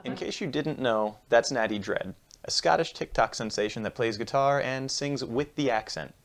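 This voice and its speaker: A man opens a comedy show with a scripted, deadpan monologue. His voice is gravelly and he mocks himself in a dry, steady way. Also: mid-range voice, moderate pace, soft background music. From United States. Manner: deadpan, self-mocking jokes. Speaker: host.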